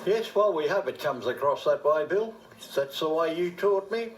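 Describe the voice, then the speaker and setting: A man's voice: a little high, expressive, normal speed. A man, a community radio presenter, chatting casually as a live studio guest.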